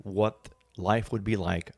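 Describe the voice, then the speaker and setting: A man with a lowish voice gives a casual, explanatory interview as an actor. He talks haltingly, feeling around for the right words. The recording is dry and close. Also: steady tone, creaky, a little mellow, no room sound.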